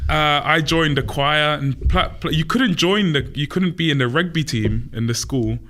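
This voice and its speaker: Man, opera tenor guest, bright forceful voice, laughs a lot while telling stories. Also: a little high, normal speed. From Samoa, raised in New Zealand. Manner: laughs often, animated anecdotes. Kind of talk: casual interview storytelling.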